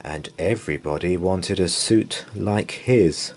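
A man reading a children's bedtime story aloud in an expressive, dramatic way with drawn-out pauses. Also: sits low, slight background noise. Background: open fire ambience.

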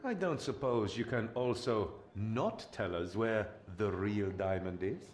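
An actor playing a roguish, easygoing young thief in a video game, talking in a casual, wry way. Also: male voice, lowish voice, lively intonation, unhurried. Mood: cheeky, probing. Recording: roomy echo with noticeable background noise.

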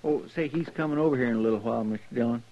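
An actor playing an eager, talkative frontier deputy with a folksy twang and rural grammar, in a 1950s radio drama. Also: male voice, medium pitch, racing, natural voice. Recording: dull vintage radio transcription.

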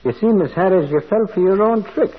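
A shrewd gentle old-radio priest sleuth, husky voice, muffled broadcast sound. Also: male voice, sits high, animated, fairly quick. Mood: mild, explaining. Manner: hesitant, mild, thoughtful delivery. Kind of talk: 1940s radio drama acting.

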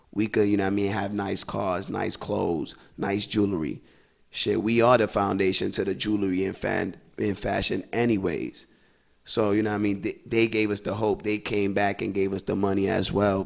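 A young man talks casually as a musician guest in a small studio. His low, gravelly voice holds a flat, even pitch. He mixes English and Spanish with a streetwise ease. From East New York, Brooklyn. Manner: switches between English and Spanish, streetwise, repeats "you know what I mean". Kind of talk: casual interview talk. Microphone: studio mic with headphones.

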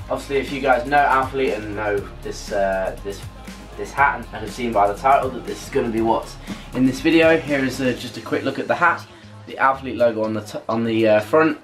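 A man in his twenties with a British accent chats casually and haltingly to camera as a vlogger, trailing off at the ends of phrases. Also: lowish voice. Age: 20s. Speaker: vlogger unboxing a purchase. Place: small bedroom, later a bathroom. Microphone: camera a couple of metres away.

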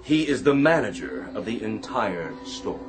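An actor playing a flustered, put-upon, deferential store floor manager, fussy and exasperated, in a radio play. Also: male voice, fairly low pitch, big pitch swings, unhurried, music in the background. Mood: sniffy, deferential.

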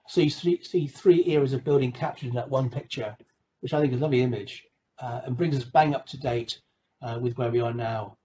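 Man lecturing, mellow voice. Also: medium pitch, a little fast, low-quality recording. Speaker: guest lecturer presenting a webinar. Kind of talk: slide lecture on a video call.

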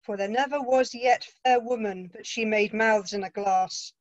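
A woman reading the part of a quipping male court fool, in brisk riddling patter. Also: on the high side, dry recording, muffled recording, close to an everyday voice. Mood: wry. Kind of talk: play read-through.

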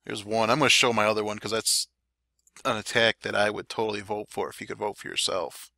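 A man giving relaxed, chatty play-by-play commentary over game replays, his throat a little dry. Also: on the low side, fairly quick, vocal fry, dry recording. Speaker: clan war recap commentator.

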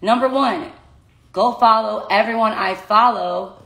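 A young woman with a raspy voice chatting casually to followers on a phone livestream about a giveaway. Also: mid-range voice, measured pace, a bit bright, roomy sound, rough recording. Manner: chatty, laughing, talking to her dogs between points. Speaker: social media influencer. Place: small bedroom indoors. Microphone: phone at arm's length.

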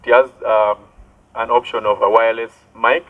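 A young man giving a product demonstration outdoors in short, halting statements, amplified through a megaphone. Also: medium pitch, little pitch movement, phone-quality sound. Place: outdoors by a building wall, some distance from camera. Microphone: megaphone and headset into portable speaker.

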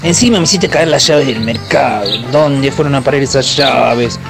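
A man's voice: medium pitch, moderate pace, gravelly, over music, natural voice. An actor playing an irritable young male market keeper in a radio soap opera, brusque and grumbling. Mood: annoyed.

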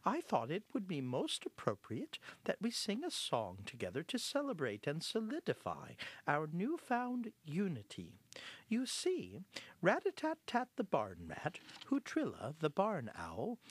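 A storyteller voicing a timid, polite little female mouse organist in a shy, gentle manner. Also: mid-range voice, husky, dry recording, voice pushed up, full character voice.

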